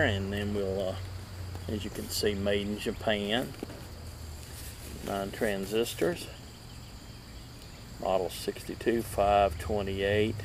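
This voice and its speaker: A man in his fifties presenting a casual show-and-tell as a video host, halting and lingering over his words, recorded outdoors a few feet from the camera. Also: fairly low pitch. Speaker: vintage radio collector and video host. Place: outdoor table in a wooded yard. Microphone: camera a few feet away. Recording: steady outdoor background noise.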